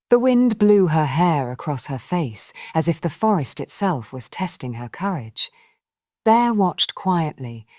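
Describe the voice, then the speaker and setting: A woman reading a scripted children's story in an expressive, gentle storybook manner. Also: low voice, no echo, clean studio sound.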